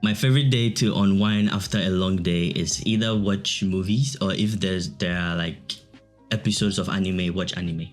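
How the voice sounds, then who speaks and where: A man's voice: low-pitched, normal speed, faint music behind. A young man with a Ghanaian accent, chatting easily as an interview guest and laughing often. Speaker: guest, digital artist. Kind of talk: casual interview chat.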